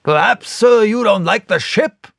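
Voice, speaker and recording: A man's voice: high-pitched, expressive, unhurried, gravelly, fairly bright tone, dry recording, clean studio sound, voice pushed up, heavily acted. A man voicing a pompous, hot-tempered squire in an audiobook, putting emphatic indignation into his questions. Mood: angry, indignant. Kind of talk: audiobook character reading.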